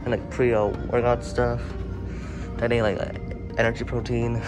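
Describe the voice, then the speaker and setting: A young man casually narrating a shopping vlog, stammering and repeating words with excitement. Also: medium pitch, moderate pace, music in the background. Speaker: vlogger. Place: shopping mall and sporting goods store, and a car. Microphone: handheld camera.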